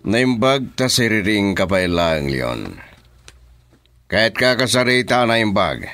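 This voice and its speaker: A domineering Filipino crime boss in a radio serial. He talks slowly in a low voice that rises and falls widely. His manner is cool and in command. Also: very animated, fairly bright tone, no room sound, faint music behind, exaggerated voice. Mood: smooth, businesslike. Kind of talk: Ilocano radio drama acting.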